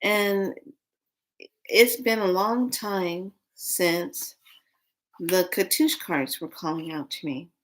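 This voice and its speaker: A woman's voice: lowish voice, slow pace. A woman in her late fifties chatting casually to viewers on a live stream, rambling through a story. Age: 50s. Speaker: vlogger.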